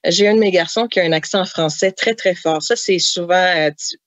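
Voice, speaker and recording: Chatty Québécoise author, dry close sound. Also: female voice, on the low side, normal speed, no room sound. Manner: chatty, many fillers, laughs while telling anecdotes. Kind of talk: podcast interview.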